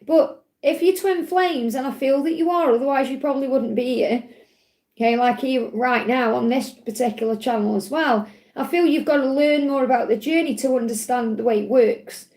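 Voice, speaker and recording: A high-voiced woman, a little croaky today, talks live to her viewers in a reassuring, advising way. She keeps checking in with a soft "okay?" as she goes. The room around her is echoey. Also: moderate pace. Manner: gently advising, repeats "okay?" often. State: slightly croaky voice. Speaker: spiritual reader answering live chat questions. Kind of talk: live-stream Q&A, casual and reassuring.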